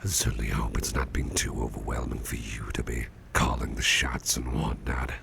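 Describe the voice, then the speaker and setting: Man voicing a very deep, gravelly, sickly boss. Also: level tone, full character voice. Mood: soft, caring. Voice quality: gravelly, breathy near-whisper. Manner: slow, hesitant, folksy and tender. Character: sickly male boss, grateful, affectionate, self-deprecating workaholic. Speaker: ASMR roleplay performer. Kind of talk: whispered ASMR roleplay. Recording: noticeable background noise, soft ambience.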